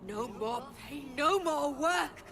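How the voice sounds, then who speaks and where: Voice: high-pitched, expressive, slow, bright, forceful tone, slight background noise, heavily acted. An actor voicing a simple-spoken enslaved creature in revolt in a video game, in short, blunt phrases. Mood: enraged, vengeful. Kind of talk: video game character acting.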